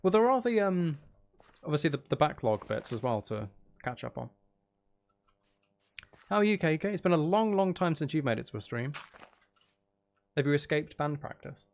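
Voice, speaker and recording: A man's voice: a little high, big pitch swings, normal speed, gravelly, long pauses. A man hosting a live stream, chatting casually with viewers in a rambling, chatty way.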